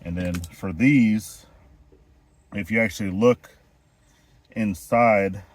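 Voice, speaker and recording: Brief: a low-voiced male homestead vlogger, congested from a chest cold, walking through a repair as he works outdoors. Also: takes it slowly, no echo. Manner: explains step by step while working, relaxed. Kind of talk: hands-on DIY how-to. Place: outdoors at a well house, kneeling on rocks.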